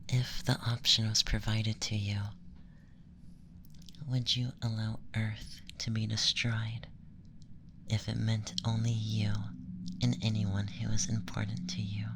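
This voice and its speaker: A performer voicing an aloof, teasing alien femboy interrogator in a slow, deliberate, soft ASMR whisper. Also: mid-range voice, background noise, lightly acted. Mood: clinical, measured.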